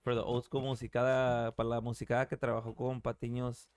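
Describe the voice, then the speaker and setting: A man in his thirties hosting a podcast, chatting casually in English and Spanish, swearing easily and reading out shout-outs from the chat. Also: medium pitch, monotone, quick pace, clean tone, dry recording. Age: 30s. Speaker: podcast host.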